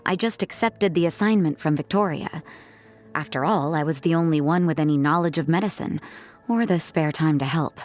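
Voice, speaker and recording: A woman voicing an aloof, jaded young church sister in a game, with a cool, deadpan, detached delivery. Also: low voice, normal speed, lightly acted. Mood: flat, resigned. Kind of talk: English game dub voice acting.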